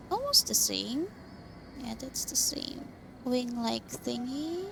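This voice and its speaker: Creaky-voiced woman casually commenting on gameplay. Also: on the high side. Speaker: gaming streamer. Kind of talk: casual game playthrough commentary. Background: game music.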